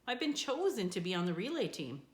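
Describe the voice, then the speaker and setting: A woman voicing an eager, excitable and stubborn young girl in a storybook. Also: medium pitch, a little fast, lightly acted. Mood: excited. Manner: eager and impatient. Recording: echoey room.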